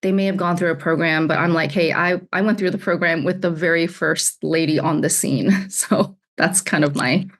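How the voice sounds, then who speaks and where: A woman's voice: low voice, fairly even intonation, moderate pace, few pauses. A woman from Alabama working as an executive coach, answering interview questions in a candid, chatty way with frequent laughs. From United States (Alabama). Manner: candid, laughs often, repeats words.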